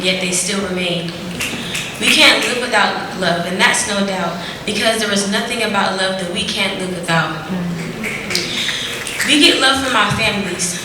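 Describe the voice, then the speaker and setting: Teen girl rapping out a rhyming poem, steady rhythm, big echoey hall, lots of noise around. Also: mid-range voice. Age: teens. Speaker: teen poet. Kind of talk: spoken word poetry performance. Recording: echoey hall from far off, noisy.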